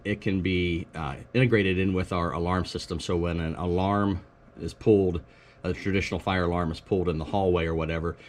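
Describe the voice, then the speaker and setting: A man with a low voice walks through an informal product demonstration. He explains things in a matter-of-fact way, like a staff member presenting to colleagues. There is faint noise in the background of the recording. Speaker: school technology staff presenter.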